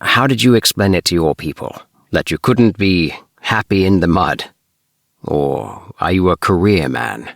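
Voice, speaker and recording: A man plays a young alien spaceman from a sci-fi storybook, chatty and adventurous. The delivery is relaxed and unhurried, with little hesitations, in a low male voice on a dry recording. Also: creaky, no room sound, exaggerated voice. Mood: weary, confiding. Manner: relaxed, with sighs and hesitant uhs.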